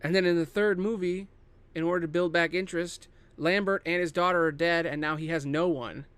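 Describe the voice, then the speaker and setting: Male podcast host, higher-pitched voice, off-the-cuff and self-interrupting, loose and sweary. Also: steady tone, a little fast, fairly bright tone, smooth, no room sound. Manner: rambling, thinking aloud, self-interrupting; casually profane. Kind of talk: casual improvised solo monologue pitching film adaptations.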